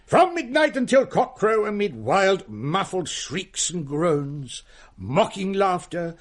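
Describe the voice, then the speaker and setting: An English actor playing a jovial middle-aged Victorian uncle in a radio drama, telling ghostly tales with grandiloquent relish. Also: male voice, sits high, sing-song, slow, full character voice. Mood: ghoulish, dramatic. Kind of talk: melodramatic radio drama storytelling.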